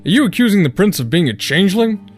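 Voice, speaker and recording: Man as loyal giant guard, raised pitch. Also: very animated, fast, faint music behind. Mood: indignant. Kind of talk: fan audio drama acting.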